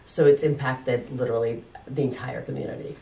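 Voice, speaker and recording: Low-voiced woman doctor, calmly explaining. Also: fairly quick, vocal fry, echoey room, far from the mic, low-quality recording. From Ukraine. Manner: calm, explanatory, advice-giving. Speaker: doctor and medical guest. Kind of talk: explaining in an interview.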